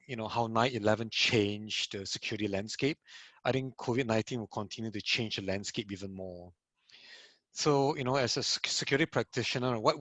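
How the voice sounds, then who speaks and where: A man's voice: on the low side, fairly bright tone, no room sound. A man from Singapore speaking as a security practitioner on a webinar video call, conversational and full of "you know" and "right". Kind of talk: webinar panel talk.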